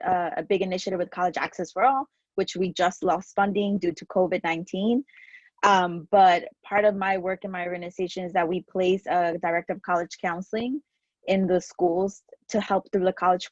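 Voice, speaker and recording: A woman's voice: lowish voice, steady tone, normal speed, no room sound, muffled recording. A woman in her thirties, a New Yorker, speaking earnestly as an alumni panelist with many fillers. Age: 30s. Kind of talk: casual panel talk.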